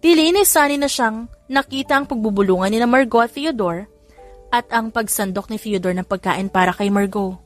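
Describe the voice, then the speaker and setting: A woman's voice: fairly high pitch, normal speed, faint music behind. A woman giving scripted narration of a romance audiobook.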